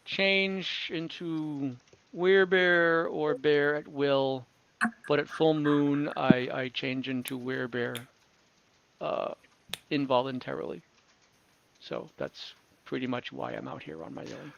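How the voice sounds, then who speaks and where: A man acts out a lone werebear in a tabletop fantasy game. His voice sits a little high for a man. He is good-humored and plain-spoken, halting as he warns about his curse. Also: steady tone, creaky, a bit bright, dull audio, slight background noise, heavily acted. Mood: matter-of-fact.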